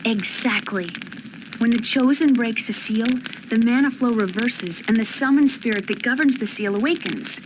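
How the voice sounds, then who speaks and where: A woman's voice: a little high, normal speed, close to an everyday voice. A woman voicing an earnest young female summoner from another world in a video game, explaining things with conviction. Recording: noisy game ambience behind voice.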